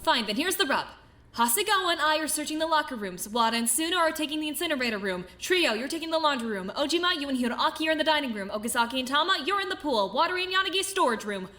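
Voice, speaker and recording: A woman playing a brisk, bossy, impatient young student leader in an animated mystery, speaking in curt, commanding phrases. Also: sits high. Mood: brisk, businesslike. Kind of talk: dramatic animated mystery voice acting.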